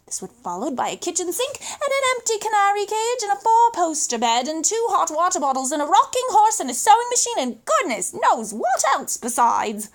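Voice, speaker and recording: A woman with a British accent reading a children's story aloud in a theatrical, expressive way, with dramatic emphasis. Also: extremely high pitch, a little fast, hardly any pauses.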